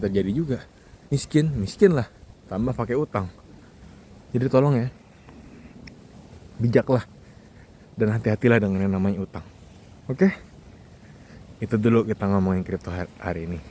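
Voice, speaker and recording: A man's voice: on the low side, moderate pace, long pauses, dark tone. A man gives casual, emphatic advice as a vlog host, repeating key words for stress. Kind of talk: casual monologue giving advice to viewers. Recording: clearly noisy recording with ambient background.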